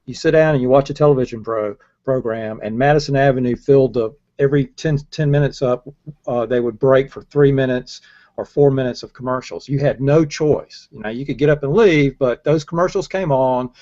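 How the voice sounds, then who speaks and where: A man's voice: medium pitch, brisk, dark tone. An older man making his case in a casual panel debate, emphatic and often restarting his sentences. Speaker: panelist in a marketing discussion. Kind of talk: casual argumentative panel chat. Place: indoors on a video call. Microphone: earbud headset. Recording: dull lo-fi video call audio.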